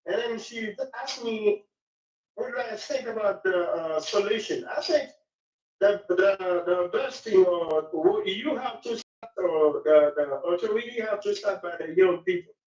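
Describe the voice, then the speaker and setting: A man from Haiti with a Haitian French accent, a French speaker talking haltingly in English and searching for words. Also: sits high, darkish tone, echoey room, distant mic, dull audio. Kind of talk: casual conversation.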